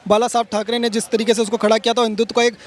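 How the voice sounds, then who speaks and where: A man's voice: very high voice, level tone, racing. A man working as an interviewer, putting wordy, conversational news questions and rephrasing as he goes.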